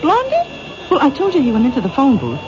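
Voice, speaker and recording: An actress playing a waitress informant in a 1970s radio drama, talking in a breathless, eager way. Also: female voice, high voice, wildly swooping pitch, fast. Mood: excited. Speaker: diner informant on the phone. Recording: hissy lo-fi old radio broadcast.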